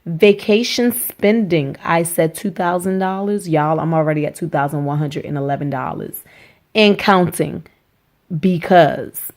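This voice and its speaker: A woman with a hoarse voice from a cold, chatting upbeat to her viewers in a casual budget vlog from a small rented apartment. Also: sits low, measured pace, darkish tone. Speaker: vlogger.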